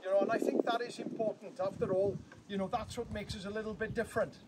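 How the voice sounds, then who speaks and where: A man's voice: sits high, little pitch movement, fast talker. Welsh man giving a joking outdoor speech. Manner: chatty, joking, self-interrupting. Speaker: guest opening a bird hide. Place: outdoors on a wooden platform. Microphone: far camera. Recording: distant outdoor recording with loud noise.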